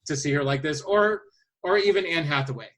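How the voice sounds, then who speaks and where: A man co-hosting a podcast, talking casually and haltingly as he thinks his way through his picks aloud. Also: a little high, fairly bright tone. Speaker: podcast host. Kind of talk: casual chat, presenting a list of casting picks.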